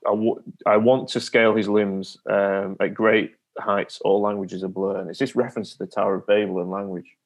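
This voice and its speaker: Low-pitched man interviewing, reflective. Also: level tone, moderate pace. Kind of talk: literary interview, discursive questions.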